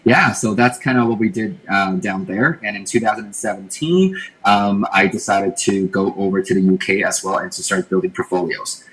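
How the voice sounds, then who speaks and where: A man's voice: fairly low pitch, moderate pace, a bit bright, low-quality recording. A man from Taiwan, a podcast guest, explaining his plans in a thoughtful, casual way and often repeating words as he thinks. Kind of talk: casual interview talk.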